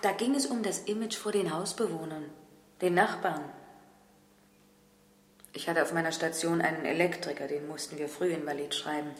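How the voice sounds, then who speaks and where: An actress playing a stern but caring hospital doctor in a radio crime drama, speaking frankly and emphatically. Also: female voice, fairly low pitch, close to an everyday voice. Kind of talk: 1970s German radio crime drama acting. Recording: echoey, reverberant room.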